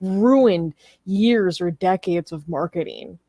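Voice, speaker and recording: A woman co-hosting a political talk show, speaking in an informal, animated and emphatic way with strong opinions. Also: on the low side, takes it slowly, no echo, clean studio sound. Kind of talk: casual, opinionated live discussion.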